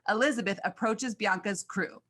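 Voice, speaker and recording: A woman's voice: mid-range voice, normal speed, a bit bright. A woman reading scripted stage directions as the narrator of a screenplay.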